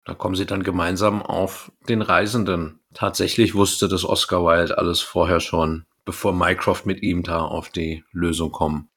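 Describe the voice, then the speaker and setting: A man with a fairly low voice talks as a podcast co-host. He retells and comments on a story in a casual, conversational way. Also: little pitch movement, moderate pace, few pauses, fairly bright tone, slightly rough. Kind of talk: casual discussion of an audio drama, retelling and commenting.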